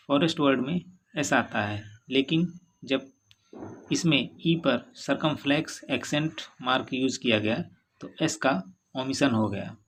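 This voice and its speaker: A man with an Indian English accent teaching French in a bilingual lesson, reading English lines slowly and then explaining them in Hindi. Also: mid-range voice, slight background noise, rough recording.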